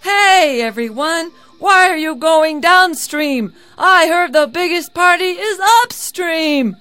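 A woman storyteller voicing a greedy, envious, excitable male villager in a folktale, calling out with emphatic, drawn-out words. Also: high voice, dry recording. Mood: demanding.

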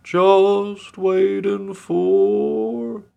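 A man's voice: high-pitched, flat delivery, very slow, vocal fry, a little mellow, clean tone, dull audio, voice pushed up, exaggerated voice. A man voicing a greedy storybook pig who draws out words with feigned innocence. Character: greedy pig, lazily singsong, bursting into gleeful shouts.

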